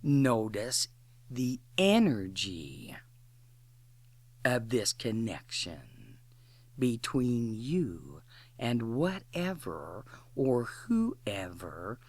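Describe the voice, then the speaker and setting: A woman leading a guided imagery exercise in an instructional way, speaking in deliberate short phrases with long pauses. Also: extremely low pitch, big pitch swings, darkish tone, no echo.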